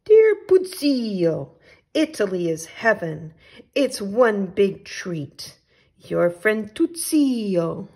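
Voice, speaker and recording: Woman reading as an eager, slow-talking storybook traveler. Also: mid-range voice, sing-song, darkish tone, husky, full character voice. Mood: eager, affectionate. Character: adventurous, affectionate storybook traveler writing postcards to his friend.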